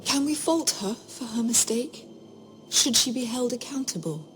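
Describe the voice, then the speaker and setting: An actress playing a haughty, menacing fantasy queen who speaks in a measured, condescending, coldly formal way. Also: on the high side, expressive, faint music behind, lightly acted. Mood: probing disdain. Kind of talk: dramatic fantasy game acting.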